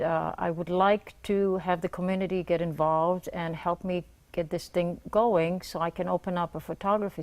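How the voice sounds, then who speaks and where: A woman's voice: lowish voice, a little mellow. A middle-aged woman, a guest on a morning TV show, speaking earnestly in short plain bursts. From Israel. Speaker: guest, nonprofit founder. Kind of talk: morning TV interview. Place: TV studio.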